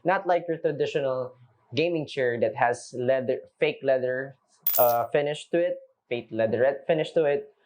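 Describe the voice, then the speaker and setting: A man's voice: medium pitch, moderate pace, darkish tone. A young Filipino man, a native Filipino speaker, giving an unscripted casual product review, loose and chatty with lots of fillers as he talks to viewers. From Philippines. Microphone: camera mic.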